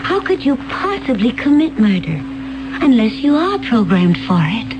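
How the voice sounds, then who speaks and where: A serene alien woman, high and lilting. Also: moderate pace, noisy background. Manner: calm, gentle, detached. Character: serene alien woman assassin, calm and otherworldly. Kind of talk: 1970s radio drama acting. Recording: dull lo-fi radio broadcast.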